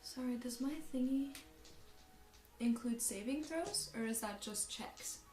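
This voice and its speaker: A woman's voice: a little high, measured pace, dark tone, soft background music. A woman playing a tabletop game, chatting casually and jokingly with the group. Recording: echoey room, distant mic, faint noise.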